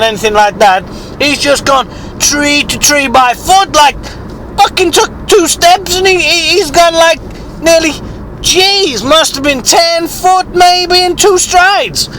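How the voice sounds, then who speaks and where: A man voicing an eccentric, foul-mouthed rustic bigfoot hunter, husky with a sore throat, telling a halting, rambling, repetitive mock-documentary tale. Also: extremely high pitch, sharp tone, slight background noise, full character voice, poor audio.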